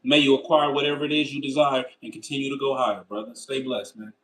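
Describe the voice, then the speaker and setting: A man's voice: level tone, a bit bright, rough recording. 30s guy on a livestream, slangy and loose, answering chat, asks himself why a lot. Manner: loose and slangy, with rhetorical why-questions and quick pitch jumps. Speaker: livestream host. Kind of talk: casual livestream chat, reading viewer comments.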